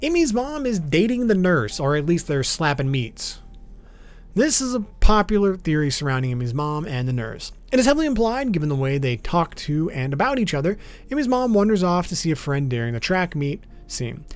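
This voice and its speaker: A man's voice: a little high, very animated, normal speed. A man narrating an explainer video as its host, in an informal, matter-of-fact way, with music behind him. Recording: background music under voice.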